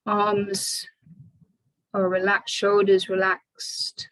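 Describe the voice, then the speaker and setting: A woman teaching restorative yoga, giving calm, soothing guidance with long pauses between phrases. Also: mid-range voice, rough recording. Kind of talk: guided restorative yoga instruction.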